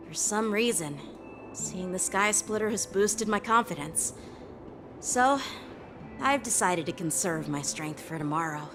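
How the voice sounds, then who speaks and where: A woman's voice: lowish voice, expressive, measured pace, over music. A woman voicing a confident, polite teenage boy knight in a video game.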